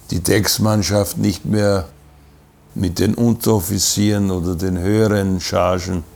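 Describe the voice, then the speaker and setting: A man's voice: sits low, vocal fry, darkish tone, full character voice. A man playing a weary, despairing shipmate, speaking naturally in an audio drama. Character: weary shipmate confiding his cabin-fever despair.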